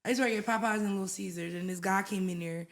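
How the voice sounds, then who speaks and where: A young woman from West Philadelphia with a Philly accent, a podcast guest telling her story in a casual, animated way, often saying "like". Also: mid-range voice, fast talker. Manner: animated, acts out voices in her stories, lots of "like".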